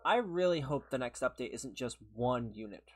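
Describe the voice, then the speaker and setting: A man's voice: medium pitch, expressive, normal speed. A man who is a little sick and sniffly, chatting casually on a game livestream, rambling and laughing as he answers viewers. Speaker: streamer. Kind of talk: casual livestream chat while gaming, replying to viewers.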